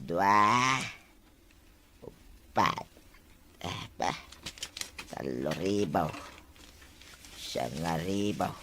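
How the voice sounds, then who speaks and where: A man's voice: deep voice, very animated, extremely slow, long pauses, background noise, exaggerated voice. A man with an Ilocano accent playing a gleeful village gambler in a comedy drama. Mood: gloating. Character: gleeful male village gambler flush with winnings.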